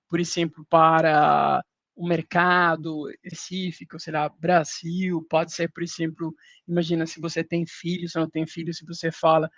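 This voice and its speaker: Guest: Colombian man speaking Portuguese as a second language, high-pitched and gravelly, dry muffled sound. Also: a bit bright, dry recording. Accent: Colombian Spanish. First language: Spanish. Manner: explains with everyday comparisons, hesitant restarts. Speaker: podcast guest, index business executive. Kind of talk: casual explanatory talk.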